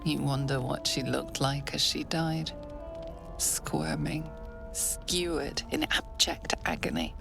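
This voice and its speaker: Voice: mid-range voice, sing-song, takes it slowly, over music. A narrator reading second-person game narration in a menacing tone. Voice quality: gravelly, menacing.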